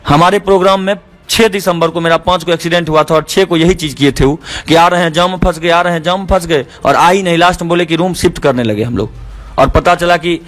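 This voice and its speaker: A man's voice: a little high, fast talker, a bit bright. A man with a Bihari accent in an argumentative, insistent phone negotiation, speaking as a show organizer. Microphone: phone call. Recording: phone call recording with faint music.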